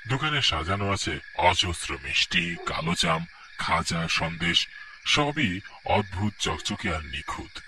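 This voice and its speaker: Man, cartoon narrator, dramatic, hushed suspense, distant mic, background hiss. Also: sits low.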